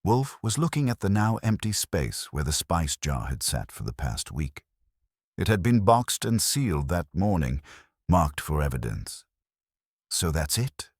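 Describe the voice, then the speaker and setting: A man narrating a vintage detective story in the first person, in a measured, scripted storytelling manner. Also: sits low, very animated, no room sound, clean studio sound. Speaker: audiobook narrator.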